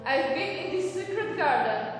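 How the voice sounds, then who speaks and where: A woman playing an outspoken, bossy orphan girl with a tender side in a puppet show, speaking deliberately and emphatically. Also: very high voice, animated. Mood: eager, tender. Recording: echoey hall, distant mic, music.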